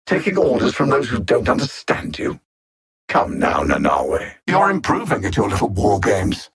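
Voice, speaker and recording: Cold smug alien overlord from a video game, husky voice, theatrical and formal, pitch swoops around a lot. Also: male voice, big pitch swings, normal speed, heavily acted. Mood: condescending. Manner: haughty, theatrical, precise and formal. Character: arrogant, coldly condescending alien machine-minded conqueror villain. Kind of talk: video game villain dialogue.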